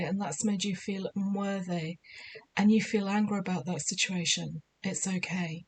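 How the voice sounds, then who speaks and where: A woman with a slightly croaky voice giving spiritual guidance in a measured, soothing, deliberate way. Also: mid-range voice, darkish tone, poor audio. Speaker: spiritual guide. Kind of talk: scripted spiritual guidance talk.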